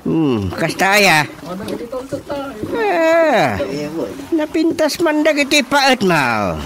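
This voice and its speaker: A man acting an inquisitive village chairman in a radio drama comedy, with an Ilocano accent and probing, drawn-out questions. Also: extremely high pitch, wildly swooping pitch, crowd noise, heavily acted. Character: village chairman, man inspecting carpentry tools with growing suspicion.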